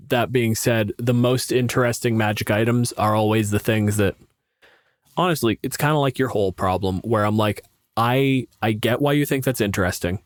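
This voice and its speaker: A man with a mid-pitched voice talks casually in a dry, close recording. He is a blunt, opinionated podcast co-host who swears freely as he discusses tabletop game rules. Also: no room sound.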